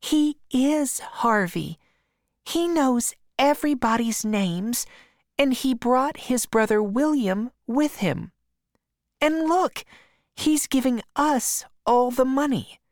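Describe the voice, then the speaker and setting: A woman's voice: sits high, expressive, slow pace, no echo, clean studio sound, pitched above the performer's own voice, heavily acted. Woman doing a sweet, trusting teenage girl. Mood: sweetly insistent. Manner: bright, eager, sweet.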